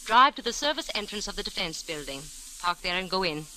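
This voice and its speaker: A woman's voice: medium pitch, very animated, fairly quick, bright, forceful tone, rough recording. An actress playing a cool, threatening female spymaster in an old radio drama, precise, formal and imperious. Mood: cool, commanding. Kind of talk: 1940s radio detective drama acting. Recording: old radio recording with faint hiss.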